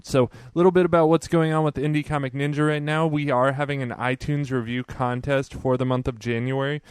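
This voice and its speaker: Relaxed man hosting a podcast. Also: medium pitch, clear, resonant tone, dry recording. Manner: relaxed, with many fillers like "you know". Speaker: podcast host. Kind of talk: casual podcast intro and interview.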